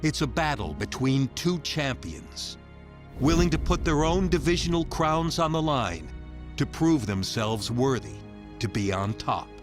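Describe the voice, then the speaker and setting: A man delivering scripted, dramatic promo narration in a solemn, measured, grandiose manner. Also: on the high side, lively intonation. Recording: music bed under the voice. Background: music.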